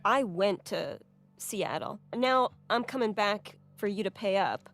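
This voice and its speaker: A woman's voice: mid-range voice, expressive, measured pace, fairly bright tone. A woman with a mid-range voice. She comments on a story in a casual, conversational and analytical way.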